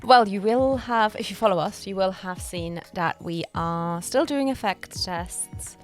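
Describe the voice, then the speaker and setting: A woman's voice: mid-range voice, unhurried, faint music behind. A woman co-hosting a podcast as a producer, speaking in casual conversation about the work. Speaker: podcast co-host and executive producer.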